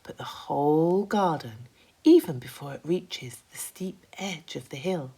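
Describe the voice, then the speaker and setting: A woman's voice: sits low, lively intonation, measured pace, warm tone, husky, pitched below the performer's own voice, exaggerated voice. A woman voicing a kindly, reassuring lady ladybird in a children's story, explaining things patiently.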